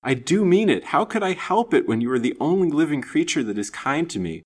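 A man's voice: fairly low pitch, expressive, moderate pace, a bit bright. A man voicing a passionate, lovestruck young woman in a melodramatic, imploring manner for an audiobook story. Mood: wild pleading. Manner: imploring, overwrought, theatrical. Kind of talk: dramatised audiobook reading.

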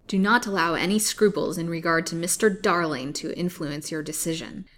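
A woman reading the lines of a scheming male villain, speaking smoothly and commandingly. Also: lowish voice, moderate pace, close to an everyday voice.

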